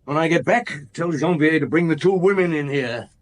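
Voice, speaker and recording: An actor playing a shrewd, relentless police chief inspector in a radio drama, probing and measured as he interrogates. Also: a little high, dry recording, lightly acted. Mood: brisk, commanding. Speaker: actor in lead detective role.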